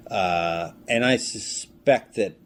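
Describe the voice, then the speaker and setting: A man's voice: fairly low pitch, slow. A man being interviewed about his film work, talking casually and thoughtfully, often qualifying and questioning what he says. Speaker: filmmaker and actor being interviewed. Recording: clearly noisy room ambience.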